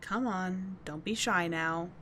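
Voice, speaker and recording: A woman's voice: on the low side, slow pace, a bit bright, a little hiss or hum. A woman playing a tough but caring teenage delinquent schoolgirl in an ASMR roleplay, speaking in a slangy, blunt yet soothing way. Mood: casual, bossy, caring. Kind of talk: ASMR roleplay audio drama.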